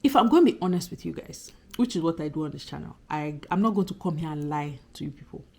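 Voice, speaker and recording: A woman in her thirties with a slightly husky, croaky voice from a recent cold, chatting earnestly to her subscribers in a casual vlog at home. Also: deep voice, expressive, fast, a little mellow. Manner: earnest, chatty, emphatic repetition. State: recovering from a cold. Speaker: YouTuber talking to subscribers. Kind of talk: casual reflective vlog monologue.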